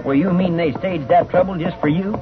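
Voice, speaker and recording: A man's voice: sits high, fairly quick, lightly acted. An American man playing a loyal, deferential western deputy, folksy and respectful, in a 1950s radio drama. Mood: deferential, earnest. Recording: tinny old radio broadcast with music.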